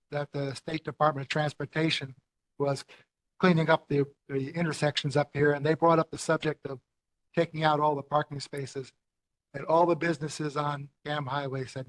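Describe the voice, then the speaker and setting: A man's voice: on the high side, fairly bright tone, low-quality recording. A man speaking at a neighborhood board meeting, matter-of-fact as he adds background and corrections. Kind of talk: public meeting comment. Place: community meeting room.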